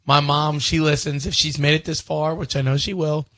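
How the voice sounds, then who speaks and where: A man's voice: fairly high pitch, flat delivery, moderate pace, a bit bright. A man on a podcast panel in casual banter, talking insistently and swearing along the way.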